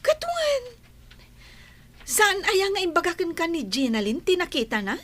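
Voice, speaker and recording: A woman's voice: very high-pitched, huge pitch swings, moderate pace, a bit bright, heavily acted. A woman playing a gossipy, disapproving but sympathetic village confidante in a radio comedy drama. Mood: teasing, sympathetic.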